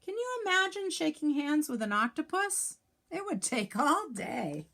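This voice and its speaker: A woman reading a children's picture book aloud like a teacher, sing-song and clearly enunciated, with asides and questions for young listeners. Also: high voice, very animated, unhurried, sharp tone.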